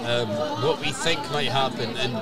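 A man telling a joke and bantering loudly, swearing freely, at an outdoor bar table. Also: medium pitch, steady tone, normal speed. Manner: rowdy, swearing, storytelling. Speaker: podcast co-host. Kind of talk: loud casual podcast banter. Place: outdoor bar terrace at night. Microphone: table mic on stand. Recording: loud bar music and crowd. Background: loud music and crowd.

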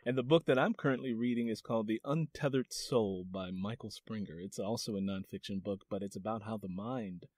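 A man's voice: lowish voice, brisk, hardly any pauses, a little mellow, dry recording. Male podcast host, easygoing and friendly in tone, dry close mic. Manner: easygoing, laughs often. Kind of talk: casual interview chat.

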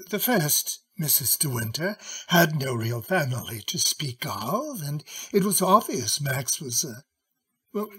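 Husky, slow-spoken courtly gentleman lawyer. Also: on the high side, heavily acted. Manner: courteous, hesitant, deliberate. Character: courtly, mild middle-aged gentleman lawyer, cautious. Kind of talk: old-time radio drama acting.

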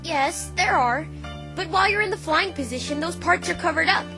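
A woman's voice: very high-pitched, brisk. A woman voicing a brash, defensive young female pegasus pony in a fan dub, with a casual, cocky delivery. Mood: grumbling. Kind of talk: fan dub voice acting of a visual novel. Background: music.

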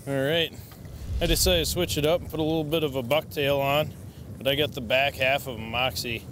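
A man who guides fishing, bantering in a relaxed way and laughing easily from a boat on a lake. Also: medium pitch, moderate pace, long pauses, slight background noise. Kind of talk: casual banter while fishing.

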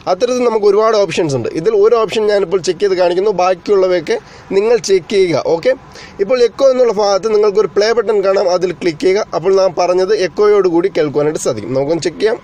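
A man's voice: sits high, rapid-fire, darkish tone. A man narrating an explanatory tech tutorial as its host, in a formal, wordy way that addresses viewers directly.

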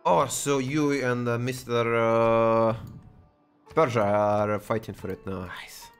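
A man's voice: mid-range voice, fairly even intonation, clean tone, soft background music. A young man streaming a strategy game, chatting and joking with other players over a headset mic. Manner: joking, exclaiming, drawn-out "dude". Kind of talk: casual gaming banter.

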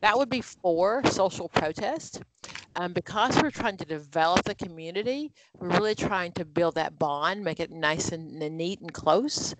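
A woman's voice: on the low side, moderate pace, very noisy. A woman leading an online exam-prep study group, explaining answers with everyday examples in a conversational way over a video call.

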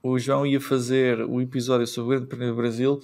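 A man's voice: mid-range voice, little pitch movement, fairly quick, darkish tone. A man with a European Portuguese accent hosting a casual podcast chat, steering the talk and laughing often. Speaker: podcast host.

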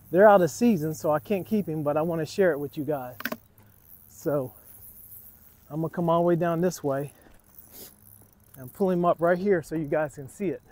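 A man's voice: on the high side, mellow. A middle-aged man hosting an outdoor fishing vlog, talking casually to his viewers from a waterfront dock. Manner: chatty, addresses viewers as guys. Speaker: fishing channel host. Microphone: camera on a tripod, sometimes selfie-held.

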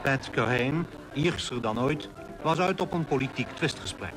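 An actor playing a formal, earnest expedition leader, narrating in a measured way in a radio play. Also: male voice, mid-range voice, expressive, over music, close to an everyday voice. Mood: measured narration. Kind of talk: scripted radio drama narration. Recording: noisy old radio play recording.

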